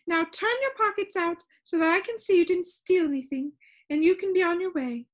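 A very high-pitched, bossy storybook lady, as a woman reader acts her out. She is fussy and theatrical, and her commanding voice swoops widely from word to word. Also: sing-song, normal speed. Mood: stubborn, impatient. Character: bossy, fussy, imperious woman keeper of sounds. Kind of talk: children's storybook character voice.

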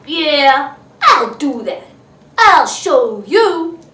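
A woman voicing a grouchy, bullying little female ladybug in a children's storybook, loud and taunting. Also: high voice, very animated, extremely slow, exaggerated voice. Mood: huffy, boastful. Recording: roomy, distant mic, faint noise.

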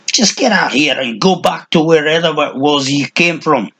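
A man voicing a cranky, hunched old hermit with a high, strident, shrill voice who rants and mutters. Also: animated, normal speed, bright, forceful tone, breathy edge, exaggerated voice. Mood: irritable, dismissive. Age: older.

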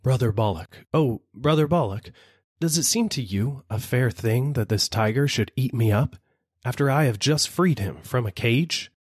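A man voicing a gentle, kind holy man who pleads desperately for his life in a storybook reading. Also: medium pitch, expressive, moderate pace, husky, no echo, clean studio sound, voice pushed up. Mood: pleading. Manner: pleading, imploring.

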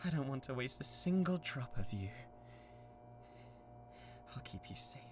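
A woman's voice: extremely low pitch, very animated, a little slow, a little hiss or hum, full character voice. A British woman playing an obsessive, menacing young yandere classmate in a theatrical ASMR roleplay monologue. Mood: hushed, possessive. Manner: theatrical, swinging between hushed coaxing and manic intensity.

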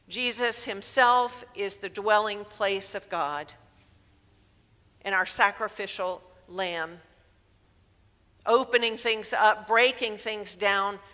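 A woman in her sixties preaching a sermon in a church sanctuary through a headset mic, measured and emphatic. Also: medium pitch, sharp tone. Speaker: pastor. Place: large church sanctuary, indoors. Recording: long reverb of a church hall.

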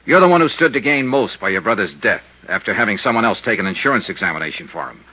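Blunt, husky hard-boiled investigator. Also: male voice, lowish voice, expressive, quick pace. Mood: pressing, grim. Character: tough, persistent male insurance investigator narrating his case. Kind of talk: 1950s radio drama acting and narration. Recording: muffled vintage 1950s radio recording.

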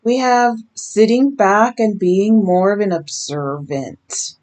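Woman in her 30s, tarot reader, sleep-raspy voice, very slow deliberate delivery, camera at desk distance. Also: medium pitch, expressive, a little mellow. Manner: slow, emphatic, drawn-out warnings. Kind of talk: slow intuitive card reading to camera. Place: small decorated bedroom indoors.

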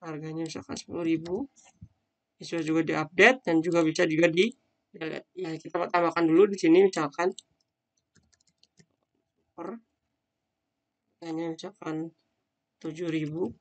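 A man's voice: on the high side, fairly even intonation, normal speed, long pauses. Man thinking aloud through a coding demo. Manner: casual, thinking aloud with fillers while demonstrating. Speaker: teacher. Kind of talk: screen-recorded coding tutorial. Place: at a computer, voice-over on screen recording.

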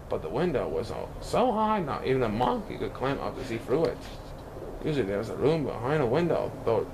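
A man reading a picture book aloud in an expressive way, now and then stumbling over words. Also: medium pitch, background noise. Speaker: children's book reader.

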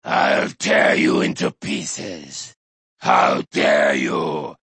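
An actor playing a crazed, bloodthirsty male Viking warrior in a racing game, shouting gravelly taunts and battle cries with manic cackles. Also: rumbling low, extremely slow, no room sound, full character voice. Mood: menacing growl. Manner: boastful, taunting battle cries, sudden drops to a menacing growl. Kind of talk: video game character voice lines.

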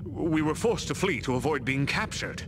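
A man's voice: on the high side, lively intonation, moderate pace. An actor playing a servile thief captain in a fantasy video game, formal and deferential as if reporting to his master. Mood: uneasy, deferential. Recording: very noisy game capture.

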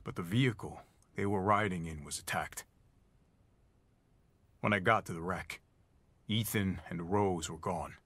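A man's voice: low voice, measured pace, long pauses, a bit bright. A middle-aged man acting a hardened, weary military captain in a video game, terse and commanding. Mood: grim, subdued. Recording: echoey reverberant space, faint noise.

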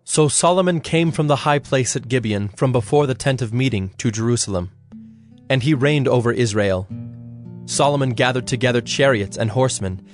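A man narrating scripture from a script in a measured, formal way. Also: mid-range voice, soft background music.

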